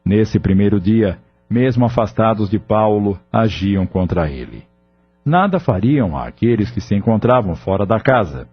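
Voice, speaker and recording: A man narrating an audio novel in a measured, solemn storytelling manner. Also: fairly low pitch, sing-song, slightly rough, dry recording. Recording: dull lo-fi audio with faint music.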